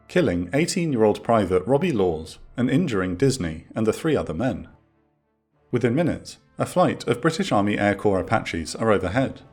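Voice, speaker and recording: Husky, low-voiced male documentary narrator. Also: darkish tone. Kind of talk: scripted military documentary narration. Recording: music under the voice. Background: background music.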